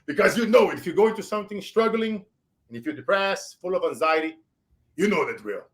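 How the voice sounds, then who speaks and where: A man in his forties with a Brazilian accent, hosting a show and giving emphatic motivational shout-outs. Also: sits high, lively intonation, fairly quick. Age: 40s. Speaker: show host. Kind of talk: motivational interview wrap-up.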